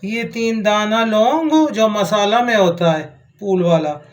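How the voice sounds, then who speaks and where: A man's voice: high-pitched, takes it slowly. A middle-aged man, hosting a home cooking video in a folksy, rambling way, full of homely advice and blessings. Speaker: cooking channel host. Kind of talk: casual home cooking vlog.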